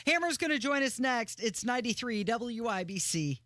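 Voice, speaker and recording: A man speaks as a radio host in a dry studio. His pitch moves around in a lively way, suited to both talk and sponsor reads. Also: moderate pace, dry recording. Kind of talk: radio talk and live-read advertising.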